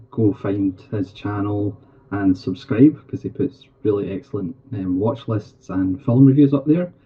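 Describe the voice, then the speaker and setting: Scottish host, tinny phone-like sound. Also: male voice, fairly low pitch. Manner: hesitant, frequent ums. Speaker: podcast co-host. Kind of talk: casual podcast hosting. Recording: tinny phone-like audio with reverb.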